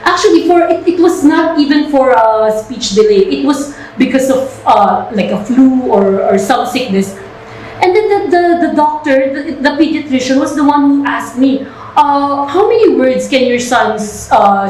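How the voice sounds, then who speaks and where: High-voiced woman, very echoey room. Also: animated, normal speed, darkish tone. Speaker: co-host and parent. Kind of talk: casual personal storytelling. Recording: very echoey room with faint noise.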